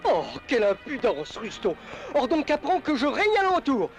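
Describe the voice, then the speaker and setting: A high-voiced, pompous little king, whose pitch lurches wildly up and down. He stutters and blusters in the style of an old cartoon, over background music. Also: very animated, normal speed, heavily acted. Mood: flustered, blustering. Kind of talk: 1930s animated film dub. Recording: old film soundtrack with music behind.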